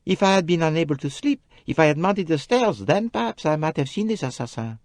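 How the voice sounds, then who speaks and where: High, bright French-accented young maid, voluble and pleading, fluttering pitch, close and dry, a male reader putting her on in an audiobook. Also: animated, fairly quick, dry recording. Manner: pleading, emphatic, broken English phrasing. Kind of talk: audiobook character voice.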